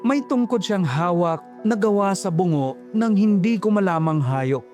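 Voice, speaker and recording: A man's voice: sits high, animated, normal speed, a little mellow, faint music behind. Tense male horror narrator. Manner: tense, dramatic storytelling. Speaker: storyteller. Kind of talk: first-person horror narration.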